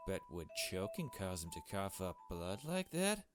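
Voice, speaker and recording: A man's voice: low-pitched, very animated, normal speed. A man giving casual, chatty let's play commentary, with playful asides as he reads a visual novel game aloud. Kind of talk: casual game commentary mixed with read-out dialogue. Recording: game music behind the voice.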